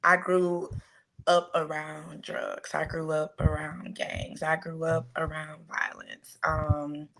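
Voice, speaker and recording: A woman's voice: low voice, monotone, slow, gravelly, a bit bright. A woman from Houston, telling her personal story casually and reflectively as an interview guest. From South Side of Houston, United States.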